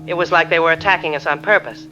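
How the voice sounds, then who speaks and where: An actor playing a shaken airline passenger giving a tense, emphatic eyewitness account in an audio drama. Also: male voice, on the high side, quick pace, bright, forceful tone. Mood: frightened. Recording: slightly dull sound, music behind.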